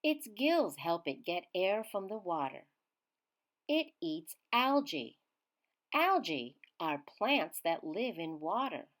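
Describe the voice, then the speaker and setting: A woman reading a children's science book aloud in a teacherly way, emphasizing key words with simple, expressive phrasing. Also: medium pitch, slow. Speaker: children's read-aloud reader.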